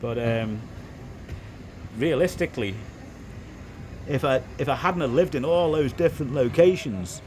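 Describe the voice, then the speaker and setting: A middle-aged man with a softened Liverpool accent, chatting loosely to camera as a vlogger, with lots of restarts. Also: mid-range voice, unhurried, pauses often, slight background noise. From England. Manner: rambling, frequent restarts and "it is what it is". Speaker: vlogger, property developer. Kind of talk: casual monologue to camera.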